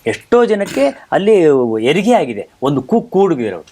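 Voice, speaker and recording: Male talk host, highish voice with very lively intonation, emphatic conversational storyteller who repeats phrases. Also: normal speed, slight background noise. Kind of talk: animated conversational storytelling about theatre.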